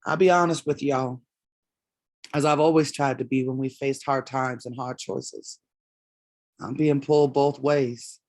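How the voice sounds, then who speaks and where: A woman's voice: very deep voice, darkish tone, dry recording. A woman acting a proud, wounded middle-aged mother and community elder in a staged play reading, speaking earnestly and emphatically.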